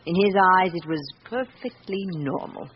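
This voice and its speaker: A wounded, middle-aged British wife played by a woman confides her grievances in a radio drama. She is candid and reflective, choosing phrases deliberately. The old recording is muffled, with slight noise. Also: on the low side, animated, natural voice. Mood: candid, confiding.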